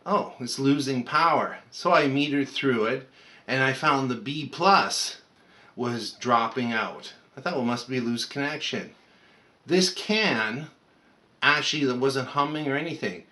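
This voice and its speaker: Bright-voiced man explaining a radio repair. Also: mid-range voice, a little slow. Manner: conversational, rambling, talks through steps. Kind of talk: casual unscripted repair walkthrough.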